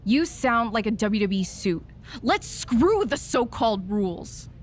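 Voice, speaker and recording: A woman's voice: on the high side, animated, unhurried, a little hiss or hum. A woman playing a brash, rebellious pro wrestler in a video game cutscene, cocky and goading as she talks. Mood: brash, excited. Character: brash, rebellious, eager female pro wrestler pitching chaos.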